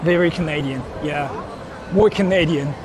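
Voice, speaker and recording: A man's voice: on the high side, moderate pace. A man working as a livestreamer, chatting casually with jokey slang as he interviews people outdoors. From Japan. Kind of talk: casual street livestream chat. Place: outdoors on a Tokyo street. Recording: noisy crowded city street.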